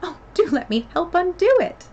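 A woman's voice: fairly high pitch, lively intonation, moderate pace, highs cut off, pitched above the performer's own voice. A woman voicing an earnest, polite and eager young girl from a storybook. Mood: anxious, apologetic.

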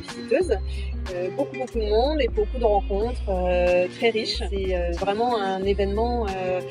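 A woman gives an interview testimonial in a mid-range voice. She speaks as a human resources manager, with music clearly audible underneath. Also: mid-range voice, moderate pace.

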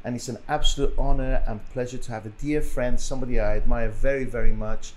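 A man's voice: mid-range voice, steady tone, quick pace, slight background noise, poor audio. A middle-aged man hosting a podcast, chatty and friendly, speaking into a studio mic. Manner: friendly, chatty, repeats the guest's name while checking it. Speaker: podcast host. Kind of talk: conversational podcast interview. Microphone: studio mic on boom arm.

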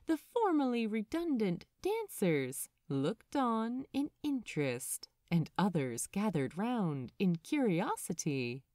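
A woman narrating scripted fan fiction as an audiobook reader, in a measured, expressive way with deliberate pauses. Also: mid-range voice, no room sound, clean studio sound.

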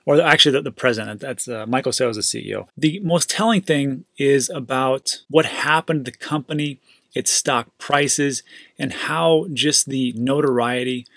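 A man's voice: medium pitch, moderate pace, fairly bright tone, no room sound. A man hosting a casual crypto news show, chatting upbeat and loose about market prices, often repeating himself for emphasis. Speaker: crypto news channel host.

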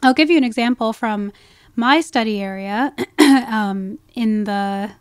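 A woman with a fairly high voice talks as an ecologist guest on a podcast. She explains in a conversational way, peppered with asides, and the sound is dry and close. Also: a little slow, clean tone, no echo. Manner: explanatory, with frequent "you know" asides.

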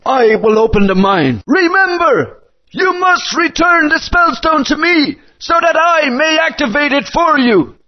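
A man's voice: extremely high pitch, big pitch swings, measured pace, full character voice. An actor playing an old, fatherly dinosaur guardian in a video game, speaking in a grand, storytelling manner. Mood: wise. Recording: dull lo-fi game audio.

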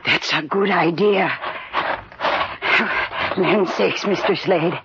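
A woman's voice: fairly low pitch, slow. An actress playing a nervous, folksy, hesitant neighbour woman in a 1940s radio drama. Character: nervous neighbour woman, chatty and easily frightened. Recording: dull old radio recording, faint noise.

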